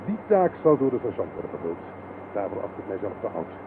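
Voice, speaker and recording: A man's voice: lowish voice, big pitch swings, quick pace. A tinny, noisy radio play recording of a pompous older general. His formal, grandiose speech rises and falls widely, like a deluded leader addressing his subjects. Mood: solemn. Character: pompous, deluded old general, self-styled modern Noah.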